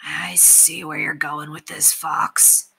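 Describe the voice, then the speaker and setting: A woman voicing a gruff, impatient, sardonic male bandit chief who grumbles and commands in a storybook. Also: low-pitched, flat delivery, takes it slowly, bright, forceful tone, heavily acted, low-quality recording. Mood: grumbling.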